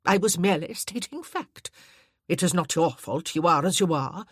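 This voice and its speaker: A woman voicing an imperious elderly dowager countess who speaks bluntly and deliberately. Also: on the low side, animated, a bit bright, breathy edge, dry recording, clean studio sound.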